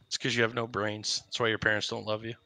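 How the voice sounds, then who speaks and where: A man's voice: on the low side, little pitch movement, quick pace, vocal fry, bright, forceful tone, rough recording. A man playing an online game who throws crude insults at another player in casual banter. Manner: crude insulting trash talk. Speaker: gaming channel co-host.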